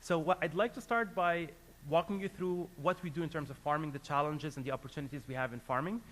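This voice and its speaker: Man from Lebanon giving a business pitch. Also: fast, a bit bright. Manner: earnest, hesitant with many fillers and restarts. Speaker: company founder presenting a live case. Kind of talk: business presentation to an audience.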